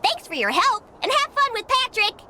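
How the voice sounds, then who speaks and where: A woman's voice: extremely high pitch, big pitch swings, normal speed, heavy background noise. A woman voicing a folksy, friendly female squirrel with a Texan accent in a cartoon game. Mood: perky, friendly. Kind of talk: cartoon game cutscene acting. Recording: loud music under the voice.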